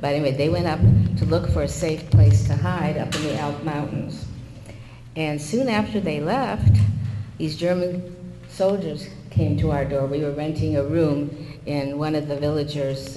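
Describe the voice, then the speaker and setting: A man's voice: a little high, steady tone, background noise. Older man recounting memories in a reverberant room. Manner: unhurried recollection with small hesitations. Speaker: interviewee recalling wartime childhood. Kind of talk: personal storytelling.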